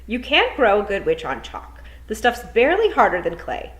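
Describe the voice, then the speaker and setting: A woman doing a brisk, no-nonsense witch. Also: mid-range voice, huge pitch swings, voice pushed up. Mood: insistent. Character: stern travelling witch, a woman sure of herself.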